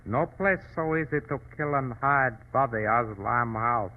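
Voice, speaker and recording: An actor playing a menacing but servile shopkeeper henchman in an old radio drama, speaking halting broken English. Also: mid-range voice, close to an everyday voice. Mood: cold, menacing. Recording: tinny, band-limited old radio recording.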